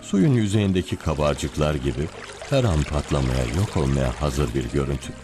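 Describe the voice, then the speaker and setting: Very deep, deliberate priest. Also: male voice, expressive, over music. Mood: measured, scheming. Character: calculating old priest testing another nation's morals. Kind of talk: animated film dialogue.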